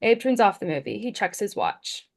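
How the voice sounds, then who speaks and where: Mid-pitched woman narrating a screenplay. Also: big pitch swings, normal speed. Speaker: stage-direction reader.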